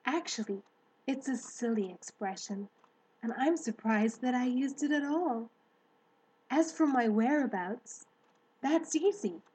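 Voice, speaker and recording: Woman as a kindly, unhurried lady spider. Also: sits high, voice pushed up, rough recording. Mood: friendly, composed. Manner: composed, articulate, gently matter-of-fact. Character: kindly, self-assured, genteel female grey spider. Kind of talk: children's storybook character voice.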